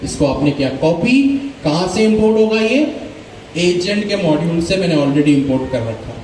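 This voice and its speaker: Guy teaching a coding class, kinda high voice, far from the mic in a real echoey room, bit of background noise. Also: expressive, normal speed, darkish tone. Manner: asks questions and answers them himself, mixing English code terms. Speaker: programming teacher. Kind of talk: live coding class lecture.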